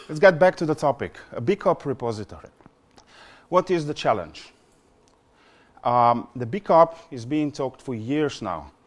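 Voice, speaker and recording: A man in his forties from Slovenia, speaking English with a Slovenian accent as a non-native speaker, giving a conference presentation with many fillers and repeated words. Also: mid-range voice.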